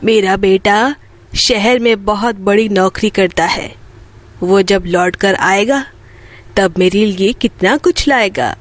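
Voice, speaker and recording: A woman's voice: medium pitch, takes it slowly, pitched below the performer's own voice, natural voice. A woman voicing a poor, loving old village mother in a storybook tale, plain-spoken and motherly. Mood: hopeful. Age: 60s. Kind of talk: Hindi bedtime moral story dialogue.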